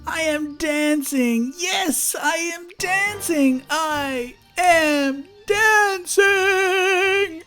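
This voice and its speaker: A woman's voice: extremely high pitch, extremely slow, sharp tone, soft background music, pitched above the performer's own voice, heavily acted. A woman voicing a clumsy, shy, tender-hearted male giraffe in a storybook, earnest and emotional. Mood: overjoyed.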